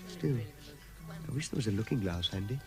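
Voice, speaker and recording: An actor playing a mild, fussy little adventurer in an audio drama. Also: male voice, fairly low pitch, sing-song, a little fast, over music. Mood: musing.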